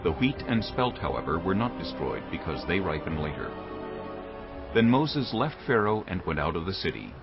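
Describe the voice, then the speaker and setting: Low-voiced male scripture narrator. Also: very animated, normal speed. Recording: phone-like narrow band, prominent music.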